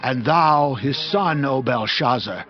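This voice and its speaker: A man's voice: medium pitch, slow, close to an everyday voice. Guy doing a stern solemn prophet scolding royalty, scripture drama, muffled sound with faint music. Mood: stern, accusing. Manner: solemn, declamatory. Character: grave male prophet rebuking a proud king. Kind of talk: dramatized King James Bible reading. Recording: dull lo-fi audio with music.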